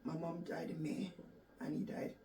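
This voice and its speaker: Gravelly Jamaican woman, distant and echoey. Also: sits low, level tone, normal speed, warm tone. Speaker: interview guest. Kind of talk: personal storytelling in an interview. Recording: echoey room, distant mic, faint background noise.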